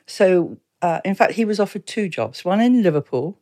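A woman in her seventies from Exeter with an English accent, reminiscing casually and anecdotally as a podcast guest, quick to chuckle. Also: lowish voice, expressive, warm tone. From Exeter, England. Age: 70s. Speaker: town mayor, podcast guest.

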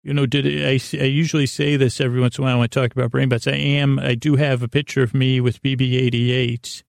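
Male sleep-podcast host, heavy vocal fry, dry close sound; halting, whimsical, self-deprecating rambler. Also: medium pitch, level tone, dry recording. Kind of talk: rambling bedtime monologue.

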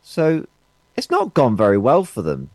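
A man's voice: medium pitch, wildly swooping pitch, a little slow, dry recording. A man co-hosting a casual football podcast, gloating and giggling as he talks. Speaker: podcast co-host.

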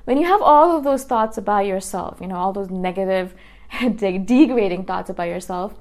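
A woman's voice: mid-range voice, expressive, moderate pace, a little mellow. A woman in her thirties, a YouTuber talking straight to her viewers in a casual monologue, earnest and full of restarts and "right?" checks. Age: 30s. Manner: earnest, repeats words and restarts often, asks "right?".

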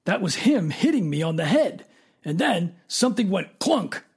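Man acting a raspy high-pitched dazed tough guy. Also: sing-song, exaggerated voice. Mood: confused, defensive. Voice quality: raspy, creaky. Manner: folksy ungrammatical speech, halting. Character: dazed tough plainspoken man, just knocked out. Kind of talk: radio drama acting.

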